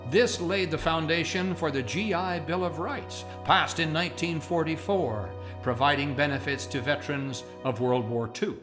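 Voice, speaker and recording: A man reading scripted narration and closing credits as the announcer of a radio play. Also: fairly high pitch.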